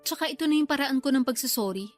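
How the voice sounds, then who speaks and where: A woman's voice: high voice, lively intonation, fast talker, faint music behind. A woman acting a defensive, wheedling older sister and new grandmother in a radio drama, proud and unapologetic. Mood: sheepish, stubborn. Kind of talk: radio drama dialogue in colloquial Tagalog.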